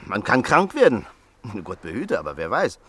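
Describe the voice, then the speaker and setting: A pushy jovial neighbor. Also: male voice, medium pitch, huge pitch swings, moderate pace, fairly bright tone, husky, dry recording, full character voice. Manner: insistent, overbearing, chuckling. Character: pushy jovial American neighbor, fifties, stocky. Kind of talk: radio play acting.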